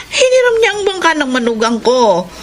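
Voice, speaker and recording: A woman's voice: high voice, very animated, moderate pace, slight background noise. An actress playing a concerned, fretful older village mother-in-law in a Filipino radio drama.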